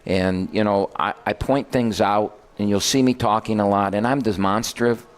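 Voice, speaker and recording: A man's voice: lowish voice, fairly even intonation, normal speed. An older man, a basketball head coach, telling rambling stories on a radio show and correcting himself as he goes. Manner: rambling, self-correcting storyteller. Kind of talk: casual radio chat.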